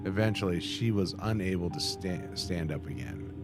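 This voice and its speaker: A man with a deep voice reads text aloud for a reaction video. He halts and repeats phrases, dropping in casual remarks. Music plays clearly behind him. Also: lively intonation, normal speed. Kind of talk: reading on-screen text aloud with casual commentary.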